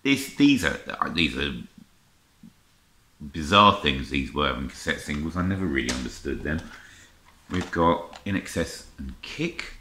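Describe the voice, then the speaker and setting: A middle-aged man with an extremely deep voice talks casually to camera in a roomy, echoing space. His intonation is lively and swings around a lot. He sounds unscripted, muttering and exclaiming to himself as he goes through items. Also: measured pace. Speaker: vlogger showing a music haul. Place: indoor room lined with record shelves. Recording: roomy echo, faint noise, clicking handling sounds.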